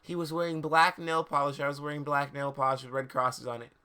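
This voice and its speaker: A man's voice: on the high side, level tone, a little fast, sharp tone, clear, resonant tone. A man streaming a live dramatic reading of fan fiction, with mock seriousness, stumbles over words and amused asides.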